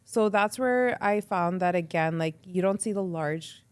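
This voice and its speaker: A young woman talking casually as a podcast guest. She speaks in a chatty, quick way, says "like" often, and acts out her own thoughts. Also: on the low side, smooth. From Canada. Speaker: guest, radio entrepreneur.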